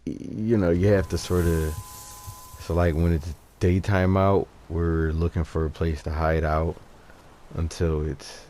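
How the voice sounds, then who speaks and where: A man giving casual gameplay commentary as a video host, low-key and halting as he thinks aloud. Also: little pitch movement, warm tone, slight background noise.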